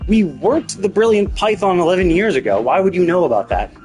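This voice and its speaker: A man's voice: high-pitched, lively intonation, fairly quick, darkish tone, poor audio. A man streaming casual game commentary, thinking aloud and rephrasing himself as he speculates about a story. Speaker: live streamer. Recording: music playing behind the voice. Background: game music.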